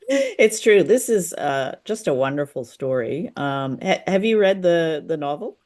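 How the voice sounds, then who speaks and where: A woman's voice: on the low side, very animated, measured pace, a little mellow. A woman chats as a co-host on a casual discussion show. She speaks conversationally, feeling her way through phrases as she goes. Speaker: podcast co-host. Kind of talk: casual discussion of a classic drama.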